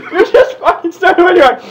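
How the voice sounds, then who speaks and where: A man casually reading a story aloud for comedy, halting, swearing and giggling. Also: very high voice, fairly bright tone, a little hiss or hum. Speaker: co-reader of a fan fiction reading show.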